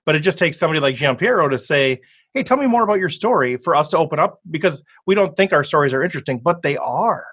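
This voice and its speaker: An upbeat, chatty man hosting a casual podcast interview, his voice sitting a bit high for a man. He laughs easily and keeps the talk loose and friendly. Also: lively intonation, fast talker, a bit bright, slightly rough. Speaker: podcast host.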